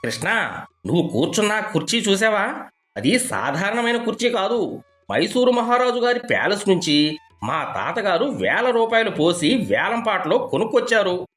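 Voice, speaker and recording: A man's voice: high-pitched, very animated, moderate pace, fairly bright tone, soft background music. A man playing a boastful, status-anxious middle-aged village father in a cartoon moral story, pompous and blustering. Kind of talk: animated moral story dialogue.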